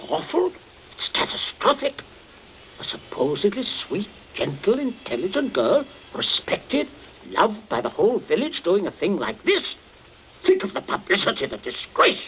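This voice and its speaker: A man's voice: sits high, huge pitch swings, moderate pace, a little hiss or hum. An actor playing a stern, domineering middle-aged family man in a 1940s radio drama. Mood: urgent. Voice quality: stern, commanding. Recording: lo-fi old radio broadcast.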